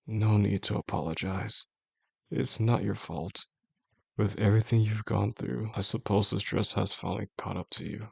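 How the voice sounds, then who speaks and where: A man playing a possessive, soft-spoken boss in an intimate audio drama, talking gently and with control straight to the listener in a low, gravelly voice. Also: fairly even intonation, no room sound, exaggerated voice. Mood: tender, coaxing. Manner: slow, soothing, gentle, controlled. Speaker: voice actor.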